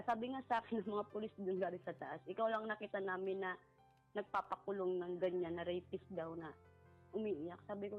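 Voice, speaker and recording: Low-voiced woman, halting and polite. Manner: polite, halting, repeats herself. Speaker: caller seeking advice. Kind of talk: personal account in conversation. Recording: muffled lo-fi voice over background music.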